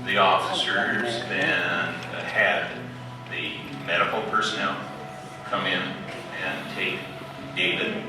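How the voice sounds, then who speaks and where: A man giving a halting, deliberate public briefing as an official presenting case facts. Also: low voice, steady tone, music in the background. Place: community center meeting room, far from camera mic. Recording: echoey hall, distant mic, background noise.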